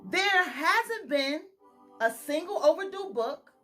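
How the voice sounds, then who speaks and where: A woman with a high, bright voice reads a children's story slowly and expressively. She often pauses to ask her young listeners questions. Faint music plays behind her. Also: animated. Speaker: storytime reader. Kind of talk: children's story read-aloud.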